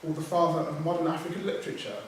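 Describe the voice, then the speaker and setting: A man who speaks English as his first language, reading a prepared talk as a poet on a writers' panel, measured, with small self-corrections. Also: on the high side, level tone. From Nigerian heritage, raised outside Nigeria. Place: theatre hall. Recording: echoey hall from far off, background noise.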